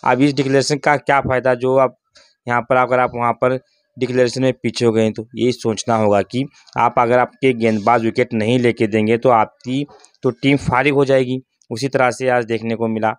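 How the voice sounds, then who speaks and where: Clear, even-toned man giving cricket analysis. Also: mid-range voice, moderate pace, no echo, studio quality. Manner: critical, rhetorical questions. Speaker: cricket commentator, channel host. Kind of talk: opinionated cricket analysis monologue.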